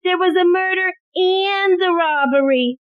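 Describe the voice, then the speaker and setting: A woman's voice: very high-pitched, a little slow, no echo. A woman acting in an audio play as an anxious, eager police secretary bringing urgent news. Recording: thin phone-like audio, very dry.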